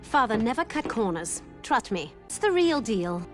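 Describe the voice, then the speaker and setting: An actress playing a proud, earnest young heiress in a video game, speaking in an assertive manner. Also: female voice, on the high side, big pitch swings, moderate pace, music in the background. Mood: grave.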